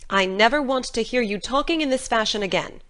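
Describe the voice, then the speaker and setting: An actress playing a stern, practical older woman guardian, speaking in a brisk, firm, scolding manner in a dramatic audiobook. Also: a little high, a bit bright, close to an everyday voice. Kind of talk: dramatic audiobook character reading.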